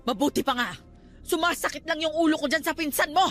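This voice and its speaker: An actress playing a stern, superstitious aunt who scolds and admonishes in a Filipino radio drama. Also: female voice, high voice, fast talker, fairly bright tone, soft background music. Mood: exasperated scolding.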